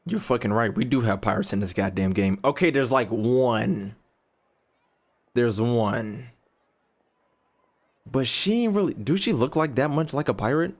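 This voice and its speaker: A man's voice: medium pitch, lively intonation, measured pace, pauses often. An American man reacting casually as he streams a game, blunt, profane and slangy, sometimes muttering to himself. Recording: game music behind.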